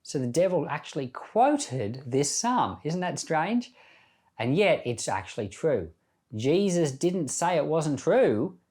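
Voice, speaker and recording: A man's voice: a little high, big pitch swings, slow pace, a little mellow. A man speaking as a pastor, giving informal Bible teaching in a reflective, conversational storytelling manner.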